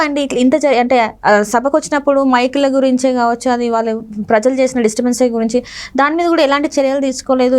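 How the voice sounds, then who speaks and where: A woman works as an interviewer. She puts long, conversational questions to her guest in the style of a political news interview. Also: high-pitched. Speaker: interviewer, host.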